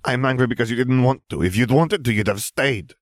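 A man's voice: low-pitched, a little fast, no room sound, clean studio sound. A man voicing a jealous, hot-tempered pregnant young woman, part bird, who sounds sulky and accusing. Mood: angry, sulky.

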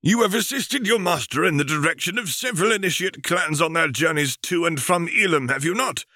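A man's voice: a little high, expressive, a little fast, bright, forceful tone, no room sound, clean studio sound, pitched above the performer's own voice. A man voicing a stately reptilian alien Jedi master in a fan fiction reading, speaking formally and courteously. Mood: courtly, formal.

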